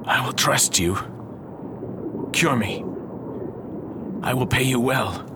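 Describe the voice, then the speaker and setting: An actor playing an obsessive, paranoid, bitter alchemist father in an old-fashioned radio drama, with halting, declamatory delivery. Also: mid-range voice. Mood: guarded, pained. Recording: clearly noisy radio play recording.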